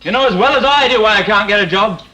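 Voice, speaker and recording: A man's voice: high-pitched, quick pace, bright, forceful tone, low-quality recording. An actor playing a sullen, cheeky, defensive young man with a Northern English accent in a 1960s TV drama. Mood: sullen, frustrated. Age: young adult. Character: sullen, defensive jobless young son just out of prison. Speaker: actor in a TV soap. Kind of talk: 1960s British TV drama acting.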